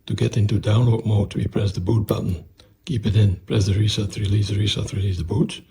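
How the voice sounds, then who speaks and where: Low-pitched man with gravelly creak, explaining electronics. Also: steady tone, fairly quick, warm tone. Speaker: electronics hobbyist presenter. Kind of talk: technical explanation of an electronics project.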